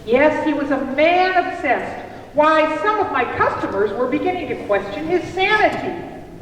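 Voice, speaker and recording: A performer playing a dramatic cafe proprietor who recounts frontier-era news in deliberate, theatrical puppet-show narration. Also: high-pitched, animated, fairly bright tone. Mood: earnest storytelling. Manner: theatrical, deliberate storytelling delivery. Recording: very echoey hall, distant mic, faint noise.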